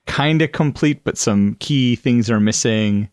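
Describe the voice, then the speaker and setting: A man's voice: medium pitch, a little slow, no room sound, studio quality. A man co-hosting a podcast, talking casually and reflectively as he works through ideas, often tentatively. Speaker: podcast co-host. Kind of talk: casual brainstorming discussion.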